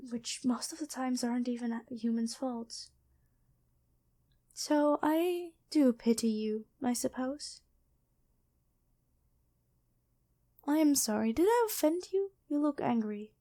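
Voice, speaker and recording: Mellow, gentle angel healer voiced by a woman. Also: a little high. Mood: apologetic, coaxing. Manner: gentle, soft-spoken, apologetic, hesitant. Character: gentle female angel battlefield medic, former nun, kind. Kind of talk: ASMR roleplay, spoken to the listener.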